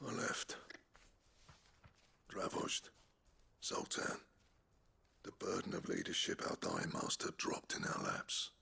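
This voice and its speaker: A low, heavily gravelly voice for a proud master smith in a video game. He is husky and brooding, weighed down by guilt, with an earthy gruffness and lively swings in pitch. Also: slow, long pauses, heavily acted. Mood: bitter, regretful. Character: weary, proud male master smith, guilt-laden exile. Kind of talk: video game drama acting.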